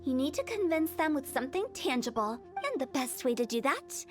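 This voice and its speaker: A woman's voice: sits high, sing-song, brisk, over music. A woman voicing a playful, mischievous young funeral parlor director who talks in a breezy, self-assured way.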